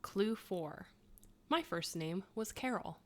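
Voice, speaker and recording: A woman's voice: mid-range voice, animated, slow, a bit bright. A woman in her thirties co-hosting a podcast, reading quiz clues and chatting, laughing often. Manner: chatty, laughs often, self-mocking asides. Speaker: podcast co-host.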